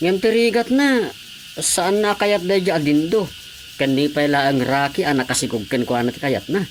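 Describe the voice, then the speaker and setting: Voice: mid-range voice, normal speed, slight background noise, lightly acted. A performer acting a deferential, fretting maid in a radio drama.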